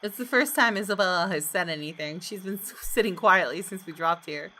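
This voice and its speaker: Bright-voiced woman narrating a visual novel. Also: on the low side, a little fast. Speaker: gaming video host. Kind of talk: expressive read-aloud of visual novel narration.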